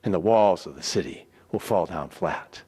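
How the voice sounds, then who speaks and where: A man playing a stern, solemn divine commander in a dramatic scripture reading, speaking slowly and gravely. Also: low-pitched, mellow, pitched below the performer's own voice. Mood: solemn, commanding. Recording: echoey hall with long reverb.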